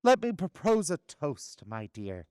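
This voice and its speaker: Gentle, slow-spoken family father, acted by a man. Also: fairly high pitch, wildly swooping pitch, smooth, no room sound, studio quality. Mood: affectionate. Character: kindly, mild, devoted family father. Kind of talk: stage-style radio drama acting.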